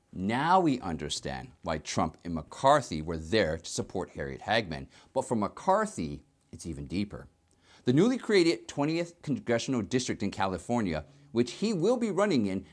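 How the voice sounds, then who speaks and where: A man presenting scripted political news commentary in an emphatic, explanatory manner. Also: medium pitch.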